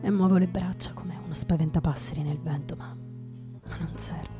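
Woman doing a sardonic boozy poet guy for radio theatre, lowish voice, stop-start and dramatic, bit of music behind. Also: steady tone, mellow, natural voice. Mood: hushed grief. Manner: halting, dramatic, repeats words, drops into whispers. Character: hard-drinking down-and-out male poet, bitter, sardonic, grieving. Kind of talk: theatrical monologue reading of poetry, radio theatre.

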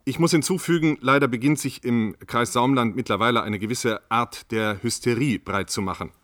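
An actor plays a male government spokesman in a radio drama. He is bureaucratic and measured, always appeasing and evading on a panel. The sound is dry and close, with a medium-pitched voice. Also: a bit bright, dry recording, close to an everyday voice. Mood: evasive, measured. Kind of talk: radio play acting as a panel discussion guest.

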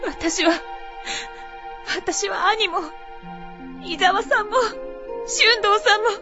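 Radio drama casting: earnest young female explorer, very high, hushed and tentative, faint music underneath. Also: takes it slowly, dull audio, full character voice. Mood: grieving. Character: earnest, grieving young woman on an expedition. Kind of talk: Japanese radio drama acting.

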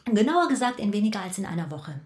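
A woman's voice: mid-range voice, big pitch swings, fast talker, darkish tone, natural voice. An actress playing an exasperated, sarcastic middle-aged mother who scolds dramatically in a radio drama. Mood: stern. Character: exasperated, sharp-tongued mother of teenage twins. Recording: roomy, echoing indoor sound.

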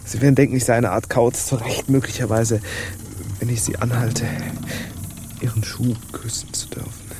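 Against clear background noise, a man plays a feverish pirate crewman in a radio drama. He is medium-pitched, an odd, yearning fellow who talks in halting, formal, confiding phrases. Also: level tone, natural voice. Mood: awkward, devoted. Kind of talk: theatrical radio drama acting.

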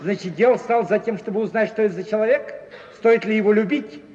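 High-voiced, doting old uncle played by an actor. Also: male voice, fast talker, a little mellow, big-room sound, natural voice. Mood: jovial, advising. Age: older. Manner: chuckling, repetitive, avuncular, theatrical. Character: doting, jovial old uncle, fussy and playful. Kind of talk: 1950s Russian radio theatre acting. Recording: dull vintage 1950s radio recording.

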